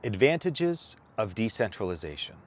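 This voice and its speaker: A man reading a formal document aloud as scripted narration, enunciating deliberately. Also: lowish voice, sing-song.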